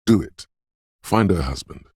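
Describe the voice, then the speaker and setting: A man's voice: expressive, measured pace, a little mellow, dry recording, studio quality, heavily acted. A man voicing a dreamy, mystical inner voice of intuition in a video game, narrating in a brooding, theatrical and intimate way. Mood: eerie excitement. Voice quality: very deep, gravelly, husky. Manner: brooding, theatrical, addressing the listener as you.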